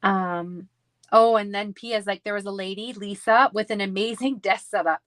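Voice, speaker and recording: A woman's voice: mid-range voice, fairly even intonation, normal speed, a bit bright, no echo. A woman with a Canadian accent chatting casually in a giggly, rambling way as she hosts a craft stream. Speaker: craft livestream host. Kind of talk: casual chat while crafting.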